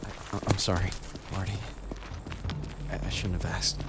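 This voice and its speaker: A man's voice: low-pitched, level tone, measured pace. A man voicing a young video game protagonist, casual and terse, with a dryly wry way of talking. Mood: apologetic, gentle. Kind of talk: video game voice acting, conversational dialogue. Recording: loud background noise and ambience.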